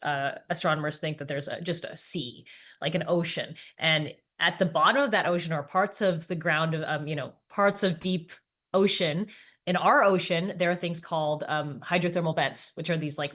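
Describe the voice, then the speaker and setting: A woman science podcaster talking casually in an interview, explaining with informal, halting phrasing and asides. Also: fairly low pitch, a bit bright.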